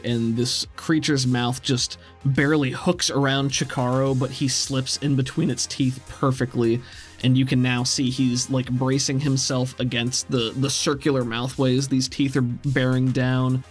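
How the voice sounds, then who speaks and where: A man's voice: medium pitch, level tone, moderate pace, soft background music. A man working as game master, narrating a tabletop game with vivid description and casual asides.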